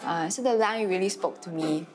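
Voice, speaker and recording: Soft-spoken young woman from China. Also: fairly low pitch, moderate pace, music in the background. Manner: soft-spoken, with hesitant fillers. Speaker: reality show contestant. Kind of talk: casual chat.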